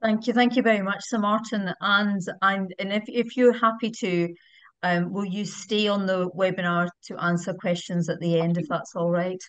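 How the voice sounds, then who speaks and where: A woman's voice: mid-range voice, fairly even intonation, moderate pace, no room sound. A woman with a British accent hosting a webinar over a video call, fluent but with frequent ums. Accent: British English. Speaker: webinar host.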